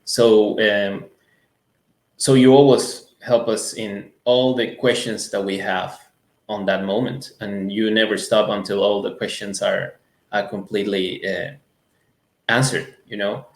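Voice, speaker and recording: A man from Ecuador, a native Spanish speaker, giving an earnest conversational testimonial in halting phrases as an interview guest. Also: lowish voice, little pitch movement. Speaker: music school owner, interview guest. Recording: roomy, echoing sound.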